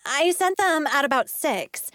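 A woman's voice: high-pitched, expressive, a little slow, pushed and bright, no echo, studio quality, voice pushed up, exaggerated voice. A woman playing a needy, pushy schoolgirl in a children's audiobook, insistent and pleading.

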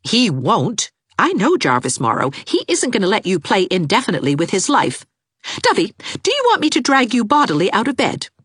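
Woman reader doing brisk sharp-tongued young woman, sarcastic, commanding, lively pitch, dry close sound. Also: breathy edge, no echo, exaggerated voice.

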